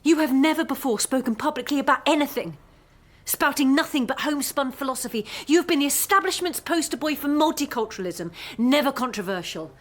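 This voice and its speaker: Woman acting a young grad student in a radio play, highish voice, pointed and sarky, won't back down. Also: a little fast, lightly acted. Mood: challenging. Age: young adult. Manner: pointed, sardonic. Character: defiant, accusing female postgraduate student. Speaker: actor in a radio drama. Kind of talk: naturalistic radio drama acting.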